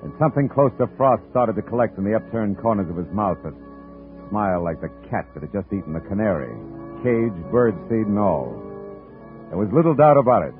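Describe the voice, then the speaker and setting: A wisecracking hard-boiled private eye. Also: male voice, lowish voice, sing-song, fairly quick. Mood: narrating. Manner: dry, wisecracking. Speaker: private detective narrator. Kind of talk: 1950s radio drama acting. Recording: tinny old radio broadcast, faint music.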